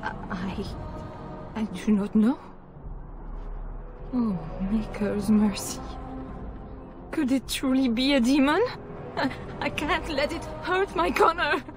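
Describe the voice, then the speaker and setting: An actress playing an anxious noblewoman and mother in a fantasy game, speaking in a halting, formal, imploring way. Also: high-pitched. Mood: distraught, evasive. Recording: music behind the voice.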